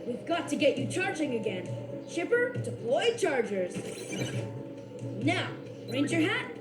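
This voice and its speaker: Cartoon boy ranger, bright and bouncy; high, lively voice, roomy and a bit off-mic over loud music. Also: unhurried, recorded from a distance. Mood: eager. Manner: eager, upbeat commands. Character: cheerful young boy park ranger.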